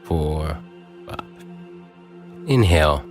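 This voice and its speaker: A man with an extremely low voice talks a listener through a guided meditation, counting each breath. He speaks softly and unhurriedly, with long pauses. Faint new-age music plays behind him. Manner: calm, soft, unhurried instructions with long pauses.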